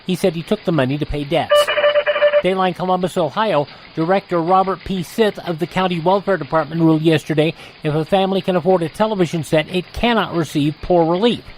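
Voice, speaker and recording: A man's voice: high voice, fairly quick, a little hiss or hum, low-quality recording. A man with an American accent reading scripted intros and old news headlines as a radio host.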